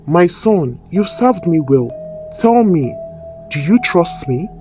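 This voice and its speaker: A woman's voice: fairly low pitch, big pitch swings, takes it slowly, music in the background. A woman voicing a troubled, scheming male pastor with a solemn, earnest manner in a folktale. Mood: calmly coaxing. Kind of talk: dramatised folktale storytelling.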